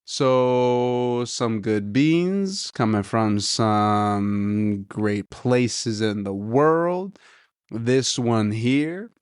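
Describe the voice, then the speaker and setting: A man's voice: medium pitch, clear, resonant tone, no echo, studio quality. A man hosting a podcast, chatting casually and rambling as he reviews a coffee, a little nasal, saying "dude" and "you know" often. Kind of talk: casual solo chat.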